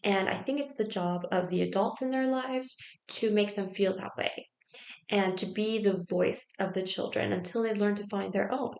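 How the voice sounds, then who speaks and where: Young woman tutor, Farsi first language, earnest and chatty with lots of "um"s, mid-pitched, recorded in a roomy small classroom. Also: normal speed, vocal fry, darkish tone. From United States. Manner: earnest, chatty, many "um" fillers. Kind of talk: personal introduction to camera.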